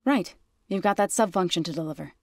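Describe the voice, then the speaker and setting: Low-pitched, earnest warrior woman, played by an actress. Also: fairly quick, no room sound, clean studio sound, natural voice. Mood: earnest, steady. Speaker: video game character. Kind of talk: scripted video game dialogue.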